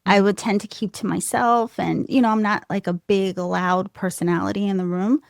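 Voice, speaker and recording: A soft-voiced woman from the United States, telling candid stories as a podcast guest, laughing often and poking fun at herself. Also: medium pitch, normal speed, gravelly, no echo, studio quality. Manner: laughs often, animated and self-deprecating. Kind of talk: candid conversational storytelling.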